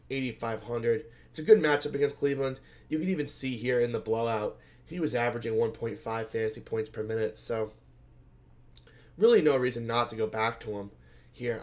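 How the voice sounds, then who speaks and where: A man with a hoarse, scratchy voice hosting a solo fantasy basketball preview, talking things through with lots of fillers and self-corrections. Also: medium pitch. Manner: conversational, filler-heavy, thinks aloud and self-corrects. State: hoarse, scratchy voice from shouting at a game. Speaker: fantasy basketball analyst host. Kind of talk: solo sports betting slate breakdown.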